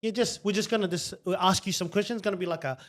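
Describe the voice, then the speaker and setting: A man's voice: high voice, racing. A man hosting a money show, talking enthusiastically in long rambling sentences and laughing between phrases. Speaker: podcast host.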